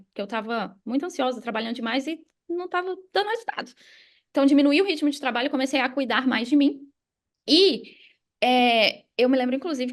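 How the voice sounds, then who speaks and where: A Brazilian woman telling a personal story casually as a podcast guest, animated and informal, often checking in with listeners. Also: fairly high pitch. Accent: Brazilian Portuguese.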